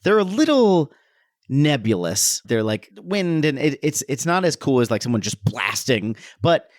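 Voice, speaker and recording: A man's voice: mid-range voice, sing-song, measured pace, no room sound, studio quality. A man hosting a podcast, chatting casually about pop culture and joking with mock voices. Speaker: podcast host.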